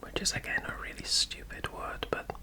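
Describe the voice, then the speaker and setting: A man from England with a mixed English and Welsh accent, doing a whispered ASMR accent tag, soft and hesitant with many pauses. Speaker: guest on girlfriend's ASMR channel.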